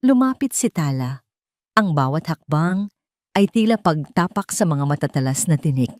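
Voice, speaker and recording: A woman reading a scripted dramatic story in a measured, expressive, melodramatic way. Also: sits low, no room sound, clean studio sound. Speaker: storyteller, narrator.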